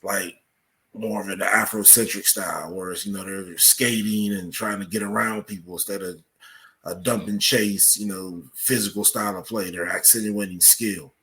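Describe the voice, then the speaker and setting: A man with an American accent speaking as a researcher guest in a podcast interview, explaining things in a measured way with examples. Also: low voice, steady tone, sharp tone, poor audio.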